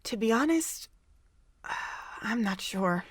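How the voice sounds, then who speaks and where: Slow, hesitant young woman, acted. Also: a little high, animated, husky, dry recording, clean studio sound, heavily acted. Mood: guarded, hesitant. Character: young female nursing student, guarded and reluctant. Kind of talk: amateur audio drama acting.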